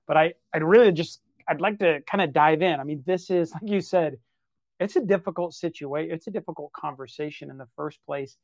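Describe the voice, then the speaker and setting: A man hosting an interview, asking his questions haltingly with frequent restarts. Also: on the high side, no echo. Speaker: podcast host. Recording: dry, dull lo-fi sound.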